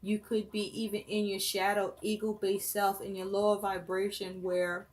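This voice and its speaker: A woman giving a tarot reading for her viewers, listing phrase after phrase in a slow, measured way. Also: mid-range voice, little pitch movement. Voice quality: voice rough and breaking, coughing. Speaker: tarot reader.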